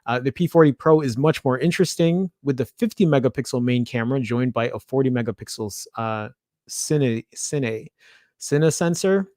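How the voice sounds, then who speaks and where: A man's voice: mid-range voice, normal speed, darkish tone, no room sound, studio quality. A man with a Chicago accent hosting a casual tech news show, reading an article aloud and breaking off into chatty asides and little stumbles.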